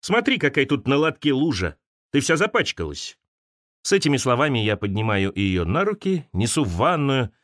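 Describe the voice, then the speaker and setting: A man narrating literary essays as an audiobook, expressively, with dramatic pauses and turns between wry and wistful. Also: medium pitch, a bit bright, no echo, clean studio sound. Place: close, dry studio recording.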